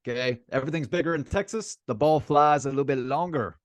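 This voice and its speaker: Male sports podcast co-host, mid-pitched, casual and animated banter, repeats himself for emphasis, dry recording. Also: quick pace, no room sound.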